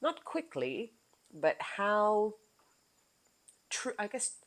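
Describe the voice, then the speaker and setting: A woman hosting her own podcast in casual chat, with a low voice, animated and laughing often, now and then swearing. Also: extremely slow. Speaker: podcast host.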